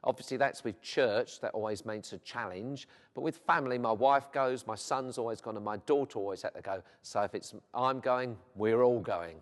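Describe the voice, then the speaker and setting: A man's voice: mid-range voice, normal speed, few pauses. A middle-aged man with a South London accent, a vicar talking about his life in a documentary interview, chatty and rambling with many fillers. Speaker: vicar and football club chaplain. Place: church. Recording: long hall reverb.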